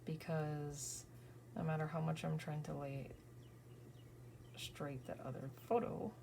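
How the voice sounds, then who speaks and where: A woman in her thirties, tired from an early morning, softly thinking aloud and often whispering as she works on a scrapbook page. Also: deep voice, fairly even intonation, slow, vocal fry, slight background noise. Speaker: scrapbooking crafter. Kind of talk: casual crafting vlog narration.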